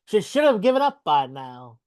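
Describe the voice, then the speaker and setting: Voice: medium pitch, sing-song, normal speed, a little mellow, close to an everyday voice. A reader voicing a scornful, bossy goblin king in a live video-call table read.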